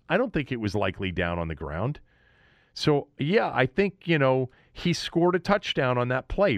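An American man with a lowish voice recaps football as a sports talk host. His pitch swings widely as he hammers out blunt, emphatic opinions. The recording is close and dry. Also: a bit bright, dry recording.

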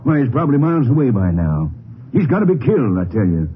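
An actor playing an angry, grieving farmer in a blustering, combative manner in a 1950s radio western. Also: male voice, mid-range voice, very animated, fast, breathy edge. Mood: angry, impatient. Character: angry, grieving farmer demanding vengeance for murdered wife. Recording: tinny, noisy old radio broadcast.

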